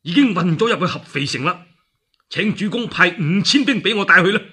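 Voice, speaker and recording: A man's voice: high voice, fairly quick, husky. A man with a Cantonese accent telling a historical tale as a solo radio storyteller, in a colloquial, dramatic manner. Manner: animated, colloquial, acts out dialogue.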